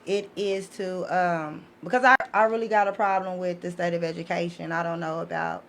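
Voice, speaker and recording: A woman's voice: lowish voice, fairly even intonation, a little fast, fairly bright tone. An American woman explaining things in a casual, emphatic way as a podcast guest, often checking that listeners follow. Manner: emphatic, punctuates points with check-in questions. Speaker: podcast guest presenting her educational book series. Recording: clearly noisy live podcast recording.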